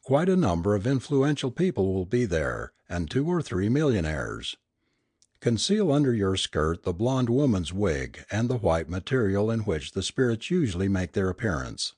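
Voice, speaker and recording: A narrator plays a cruel male fraud of a spirit medium in a storybook melodrama. He sounds lofty and commanding, with a low, husky voice and sinister, lively intonation. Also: normal speed, dry recording, clean studio sound. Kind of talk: dramatic audiobook character reading.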